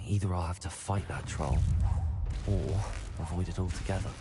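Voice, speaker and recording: Man playing an earnest, low-voiced young wizard student. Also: fairly even intonation, moderate pace, close to an everyday voice. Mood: earnest, thoughtful. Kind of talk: scripted video game acting.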